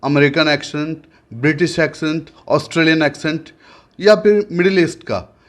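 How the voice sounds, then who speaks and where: A man's voice: a little high, steady tone, moderate pace. Male news reporter, formal. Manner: formal, with frequent hesitations when speaking off script. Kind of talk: news narration then interview.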